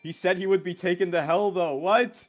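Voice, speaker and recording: A man's voice: high voice, steady tone, normal speed, faint music behind. A young man streaming a game, casually reacting and reading aloud.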